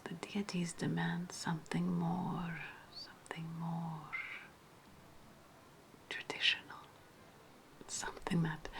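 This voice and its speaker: A sly, menacing witch of ancient Rome, played by a woman, whispers to her guest in an ASMR roleplay. Her voice is on the low side and creaky, lingering over repeated phrases and soft hums. There is clear noise behind the whisper. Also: full character voice, low-quality recording.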